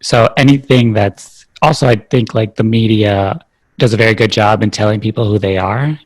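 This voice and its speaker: A man's voice: fairly low pitch, moderate pace, rough recording. A man hosting a podcast, talking in a reflective, thinking-aloud way with many fillers. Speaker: podcast host. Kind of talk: casual interview chat.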